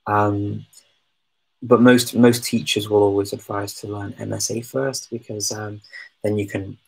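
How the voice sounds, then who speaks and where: Hesitant man with a gravelly, husky voice. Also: on the low side, level tone, unhurried, low-quality recording. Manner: hesitant, many ums and restarts, self-deprecating. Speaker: language-learning streamer answering viewer questions. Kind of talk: casual live Q&A chat.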